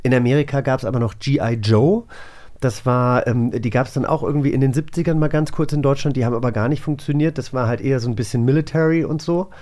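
A man's voice: mid-range voice, fast, darkish tone. A middle-aged man, hosting a podcast in a casual, conversational style. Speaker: podcast host. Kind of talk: casual chat.

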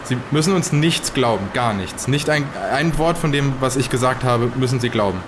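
A man's voice: mid-range voice, moderate pace, noisy background, close to an everyday voice. Grave, troubled survivor played by a man. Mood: alarmed, pleading. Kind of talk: improvised in-character audio drama.

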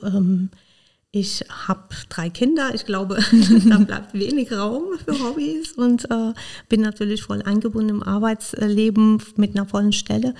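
A woman who grew up in Iran, speaking German as a podcast guest. She answers in a hesitant way, with many pauses and fillers. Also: mid-range voice, creaky, a little mellow. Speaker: podcast guest, social worker. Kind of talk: casual interview talk.

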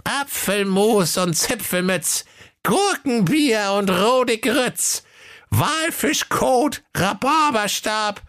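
A man voicing an evil, bumbling male wizard in a children's story, reciting spells in a slow sing-song. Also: high voice, lively intonation, fairly bright tone, no echo, studio quality, pitched above the performer's own voice, full character voice. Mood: chanting.

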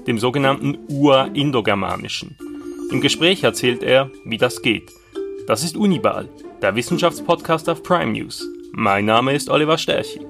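A man reading the scripted introduction to a science podcast in a clear, deliberate way. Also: medium pitch. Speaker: podcast host.

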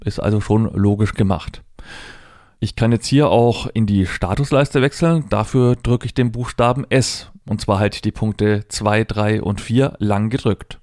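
A man's voice: lowish voice, a little slow, a little mellow, no echo. Man giving a dry, close-miked demonstration. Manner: informal, thinking aloud step by step. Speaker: presenter demonstrating assistive technology. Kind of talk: hands-on product demonstration, explanatory.

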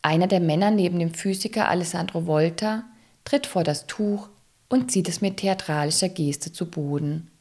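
A woman's voice: on the low side, moderate pace, a little mellow. A woman reading scripted, explanatory narration for an educational audio drama.

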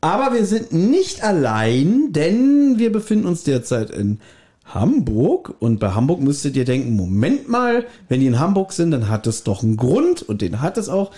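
A man's voice: on the high side, big pitch swings, normal speed, mellow. A man hosting a podcast in a casual, chatty, bantering style. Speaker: podcast host. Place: guest's apartment, indoors.

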